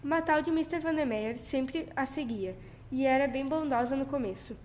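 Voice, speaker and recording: A woman's voice: high voice, normal speed, a little mellow. A young teenage girl reading scripted narration for an amateur radio drama. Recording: noisy amateur school recording.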